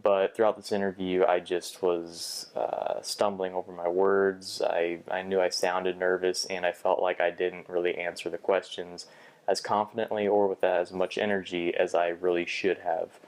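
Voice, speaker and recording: A man's voice: deep voice, little pitch movement, moderate pace, low-quality recording. A young man talking through a bad job interview in a personal vlog, hesitant, with frequent restarts and fillers. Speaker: vlogger.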